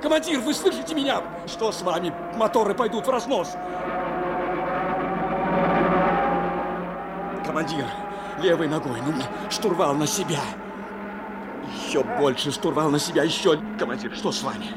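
A man's voice: a little high, animated, a little fast, lightly acted. An actor playing an intense, desperate crewman of a stricken bomber, with strained and emphatic radio-drama delivery. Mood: desperate, pleading. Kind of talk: impassioned Soviet radio drama acting. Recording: noisy aircraft sound effects over voice. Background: loud engine noise.

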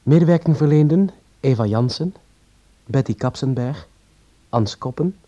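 Very dark-voiced male radio announcer. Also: medium pitch, animated, a little slow. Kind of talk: formal credit announcement. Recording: dull old radio recording.

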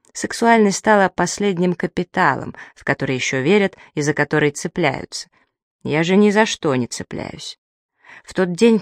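A woman's voice: low-pitched, no echo, studio quality. Woman reading a frank first-person monologue. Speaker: audiobook narrator.

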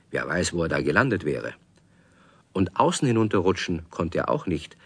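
A man's voice: low voice, husky, dry recording, full character voice. A man narrating a children's radio play, speaking expressively and directly to young listeners as a friendly storyteller.

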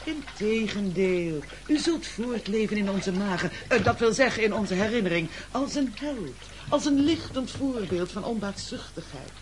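A woman's voice: medium pitch, expressive, normal speed, few pauses, noisy background, natural voice. A woman playing a smug, bossy leader of hungry castaways in a radio play, with an unctuous, formal and patronizing delivery. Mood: reassuring, unctuous. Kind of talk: theatrical radio play acting.

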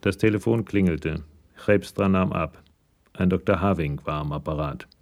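A man narrating a scripted crime radio play in a measured, matter-of-fact storytelling manner. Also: low-pitched.

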